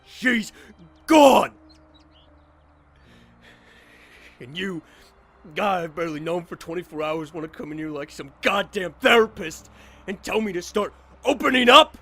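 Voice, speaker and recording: A man's voice: sits high, expressive, normal speed, a bit bright, heavily acted. A man playing a guarded, abrasive young survivor in a zombie apocalypse audio drama, curt, defensive and foul-mouthed. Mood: grieving rage. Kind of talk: fan audio drama acting.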